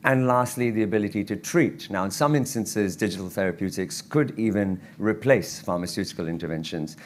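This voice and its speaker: A man speaking as a physician at a conference lecture, his voice croaky from travel, earnest and given to restarts and fillers. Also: lowish voice, brisk, slight background noise. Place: conference hall.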